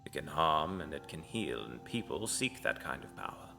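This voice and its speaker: Here is a solemn fantasy seer acted by a man, grave and devout, guiding a future assassin. His voice sits extremely low with a gravelly creak, often hushed, over faint-to-clear music. The delivery is cryptic and ominous, as in a dark audio drama. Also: sing-song, moderate pace, full character voice. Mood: hushed, prophetic.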